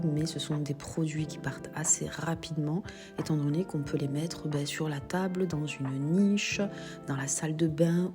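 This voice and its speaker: A woman with a cold walking viewers through her shop's pottery stock, chatting as she explains prices and sizes. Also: low voice. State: has a cold. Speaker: shop owner presenting stock. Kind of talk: product walkthrough video.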